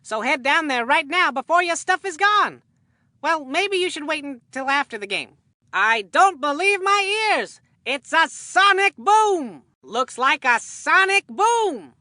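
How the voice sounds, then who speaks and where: A woman voicing an excitable, folksy male baseball commentator in a video game, with a hammy announcer delivery. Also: high voice, measured pace, no echo, studio quality, heavily acted. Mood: folksy, salesman-like, then excited. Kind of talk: scripted video game sports commentary with puns.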